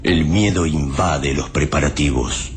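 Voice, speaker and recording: An actor with an Argentine accent playing a solemn, poetic storyteller narrating a radio drama. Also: male voice, very deep voice, unhurried. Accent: Argentine Rioplatense. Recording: dramatic music under the voice.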